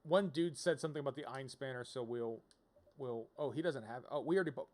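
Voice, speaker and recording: A man's voice: medium pitch, fast, darkish tone. A man giving casual livestream game commentary, rambling as he thinks aloud. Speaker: game streamer.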